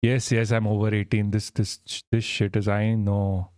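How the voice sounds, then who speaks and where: A man's voice: lowish voice, little pitch movement, normal speed, a little mellow, smooth, no room sound, studio quality. A man live streaming, chatting casually and reading his chat, rambling and swearing freely as he jokes. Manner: rambling, profane, jokey, reads chat aloud.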